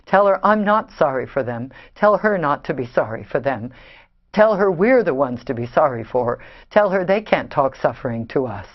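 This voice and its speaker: A woman's voice: on the low side, natural voice. An actress in a play reading, playing a defiant, hard-edged woman in an Israeli family, on a dull recording.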